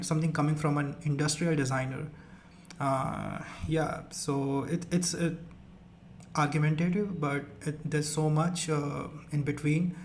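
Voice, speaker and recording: A man's voice: on the high side, a little hiss or hum. A young man with an Indian accent, whose first language is Rajasthani, hosting a live stream in casual chat, hesitant with many fillers and restarts.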